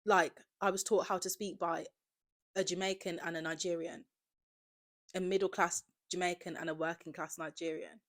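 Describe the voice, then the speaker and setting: Low-pitched young woman, candid. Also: level tone, normal speed. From Birmingham area, England. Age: 20s. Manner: candid, conversational, heavy use of "like". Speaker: podcast host. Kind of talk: casual confessional monologue.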